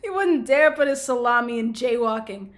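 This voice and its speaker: A woman's voice: fairly high pitch, animated, normal speed, lightly acted. A woman playing a defiant, mocking small-time villain, a serial jaywalker, with a taunting delivery in a comedic audio play. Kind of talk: comedic audio drama acting.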